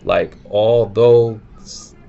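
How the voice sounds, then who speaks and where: Male vlog host, very low voice, relaxed off-the-cuff delivery, muffled lo-fi sound. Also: drawn-out words, vocal fry. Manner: easygoing, thinks aloud, loose and informal. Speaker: language-learning channel host answering viewer questions. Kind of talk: casual unscripted chat. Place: filming himself on camera at home. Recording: dull lo-fi recording.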